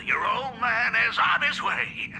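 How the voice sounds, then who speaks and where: Goofy, doting, animated middle-aged dad. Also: male voice, very high-pitched, gravelly, a little hiss or hum, heavily acted. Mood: overjoyed. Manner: theatrical, effusive, chuckles often. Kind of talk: animated video game voice acting.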